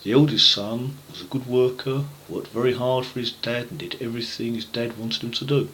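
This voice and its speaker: Male storyteller for children's parable: medium pitch, forceful tone, deliberate, emphatic phrasing. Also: steady tone, low-quality recording. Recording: faint background noise.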